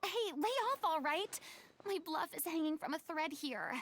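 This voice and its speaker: A woman's voice: sits high, moderate pace, fairly bright tone. An actress playing a theatrical, boastful and easily flustered young woman in an anime fantasy game, with a grandiose melodramatic delivery. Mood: flustered, pleading. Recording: music behind the voice.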